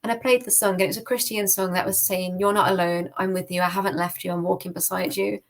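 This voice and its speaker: A British woman speaks at a middle pitch as a podcast guest. She tells her own story conversationally, in an informal way with "like" sprinkled through it. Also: fairly even intonation, fast. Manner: informal, peppers speech with "like". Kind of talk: conversational personal testimony.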